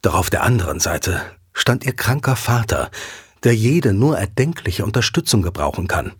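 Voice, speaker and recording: A man narrating a scripted audio drama as a dramatic, expressive storyteller. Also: on the low side, moderate pace, breathy edge, dry recording, studio quality. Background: background music.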